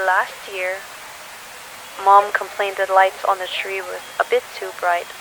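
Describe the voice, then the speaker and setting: A woman playing a weary, sorrowful teenager hiding in post-apocalyptic ruins, speaking a halting, solemn audio diary. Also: mid-range voice, little pitch movement, lightly acted. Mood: sorrowful, reflective. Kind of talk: scripted audio diary monologue. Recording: hissy recording with faint noise.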